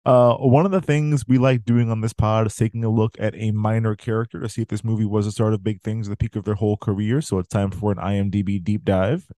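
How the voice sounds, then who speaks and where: Chill guy cohosting a movie pod, mellow low-key voice, dry sound. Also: flat delivery, fast, hardly any pauses, dry recording. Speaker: podcast co-host. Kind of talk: casual podcast chat.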